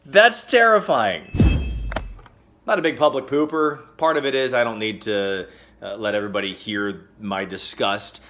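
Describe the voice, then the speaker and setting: A man hosting a casual comedic news roundup, chatty and joking, with sudden loud exclamations. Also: fairly low pitch, measured pace. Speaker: host.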